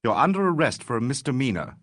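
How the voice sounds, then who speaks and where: A man reading an audiobook voices a calm, weary police inspector who questions a suspect firmly and dryly. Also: mid-range voice, no echo. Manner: measured, dry, firm.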